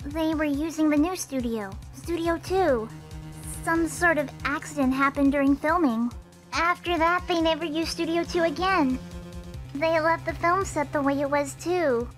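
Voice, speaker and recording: A woman voicing an earnest, expressive young woman in an amateur fan dub of a mystery game. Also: high-pitched, normal speed, faint music behind. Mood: regretful.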